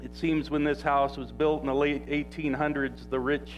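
A man's voice: medium pitch, fairly even intonation, normal speed, muffled recording, faint music behind. A man preaching an informal sermon in a church, talking in a loose, rambling way with plenty of fillers and restarts. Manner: says he has a loud voice. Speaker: pastor.

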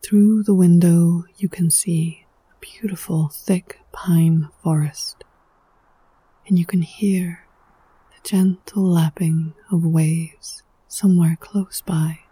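A woman leading a guided meditation in a soft, breathy voice that often sinks near a whisper, hushed, soothing and unhurried, with poetic scripted phrasing. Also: fairly low pitch, steady tone, no room sound. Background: music.

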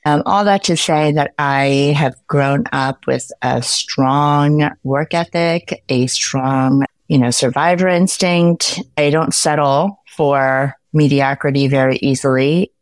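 A woman in her fifties with a notably low voice talks candidly as a podcast guest. She laughs easily and speaks in quick bursts. The sound is dry and close, with no room in it. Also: no echo. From United States. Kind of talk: casual podcast conversation.

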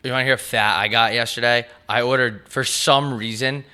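A raspy man in his twenties, co-hosting a podcast with crude, joking casual chat. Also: mid-range voice, steady tone, moderate pace, pushed and bright. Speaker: podcast co-host.

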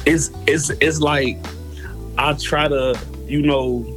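A man's voice: a little high, fairly even intonation, slow pace, soft background music, low-quality recording. A young man from Chicago with a Chicago accent, a rapper guest talking in a laid-back, hesitant way full of casual slang.

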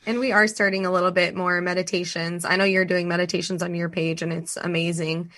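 A woman with a raspy voice, chatting enthusiastically as a podcast guest and laughing often. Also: fairly low pitch, little pitch movement, fairly quick, a bit bright. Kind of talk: casual podcast chat.

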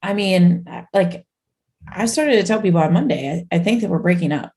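A young woman co-hosts a casual fitness podcast, her voice sitting a bit low. She talks in loose, friendly runs, looping back with fillers and restarts. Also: animated, normal speed, mellow. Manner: chatty, full of fillers and restarts. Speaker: podcast co-host. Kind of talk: casual chat.

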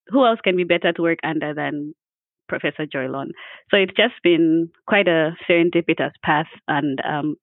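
A woman from Zambia, a doctor guest on a podcast, speaking in an earnest, measured way. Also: low-pitched, dry recording. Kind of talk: conversational podcast answer. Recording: tinny phone-like call line.

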